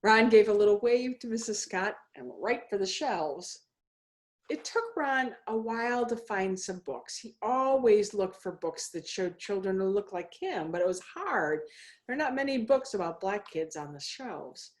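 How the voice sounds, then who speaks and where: A woman reading a children's story aloud in a deliberate, expressive way, as a guest minister. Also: mid-range voice. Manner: slow, deliberate, expressive phrasing. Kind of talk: storybook read-aloud for all ages.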